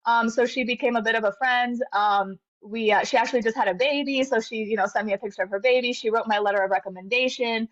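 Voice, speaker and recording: A woman's voice: a little high, steady tone, fast talker, few pauses, a bit bright. A woman in her thirties, a guest in an interview, tells her story in an earnest, reflective way, with many fillers and restarts. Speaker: interview guest, medical graduate. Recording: slightly dull lo-fi audio.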